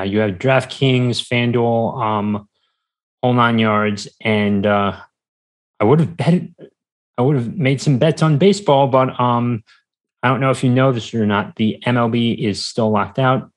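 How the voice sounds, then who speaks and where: A man with an American accent speaks as a guest in a casual conversation. His voice is of average pitch. He rambles, halts and often leaves a thought hanging before picking up the next. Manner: halting, rambling, frequently trailing off. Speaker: podcast guest. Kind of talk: casual chat.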